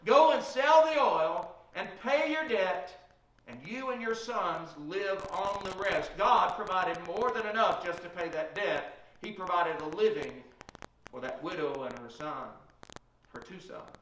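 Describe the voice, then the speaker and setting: High bright preacher in an echoey church. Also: male voice, animated, unhurried, far from the mic, a little hiss or hum. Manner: storytelling preacher retelling Bible stories. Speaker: pastor. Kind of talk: sermon. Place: small church sanctuary, at a pulpit. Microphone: room mic from a far camera.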